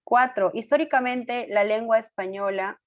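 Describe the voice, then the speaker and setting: A woman's voice: on the high side, normal speed, muffled recording. A woman teacher going over quiz answers with her students in an online class, coaxing them with questions, affirming with short fillers and correcting her own slips. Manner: corrects herself aloud, apologetic.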